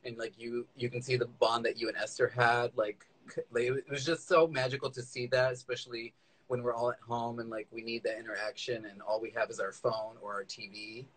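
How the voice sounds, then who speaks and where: A man's voice: mid-range voice, level tone, a little fast, a bit bright, husky, poor audio. A man hosting a casual chat interview in a gushing, informal way. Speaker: interviewer, drag queen host. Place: indoors, small room. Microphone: phone at arm's length, video call.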